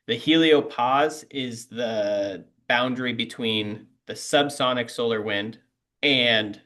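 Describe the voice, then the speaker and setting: A man's voice: medium pitch, slow, sharp tone. A man panelist explaining space physics plainly during a webinar over a video call.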